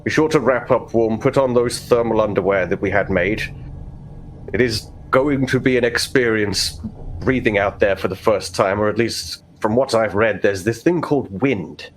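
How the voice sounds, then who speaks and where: A man's voice: on the low side, normal speed, a little hiss or hum, lightly acted, poor audio. A man voicing a stern older father in charge of a vault, with a dry, commanding manner, in a tabletop game. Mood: grudging, fatherly. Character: stern droll older male vault overseer, Timmy's father.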